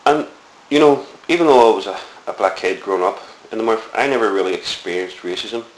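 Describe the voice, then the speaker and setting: Deep-voiced Belfast man, echoey room. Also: brisk. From Northern Ireland. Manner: rambling, reflective storytelling with many fillers. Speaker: interviewee. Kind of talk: oral history interview. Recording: echoey room with faint hiss.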